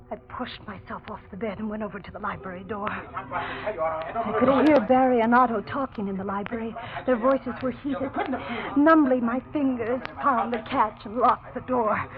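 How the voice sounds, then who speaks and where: A woman's voice: medium pitch, lightly acted. An actress playing a terrified, distraught young woman narrating a murder mystery in dramatic 1940s radio style. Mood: weary, numb. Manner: breathless, dramatic, anguished. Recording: dull, noisy 1940s radio recording.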